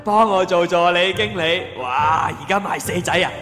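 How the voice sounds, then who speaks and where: A man's voice: high voice, moderate pace, a bit bright, big-room sound, faint music behind, pitched below the performer's own voice. A man voicing a slick, sleazy hustler offering shady work. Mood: wheedling. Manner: wheedling, slick. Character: sleazy male street hustler.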